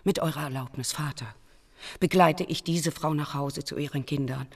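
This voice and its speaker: Husky-voiced young monk healer. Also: female voice, deep voice, moderate pace. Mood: firm, pleading. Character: young monk healer, earnest, learned, resolute. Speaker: actor. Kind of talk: radio drama acting.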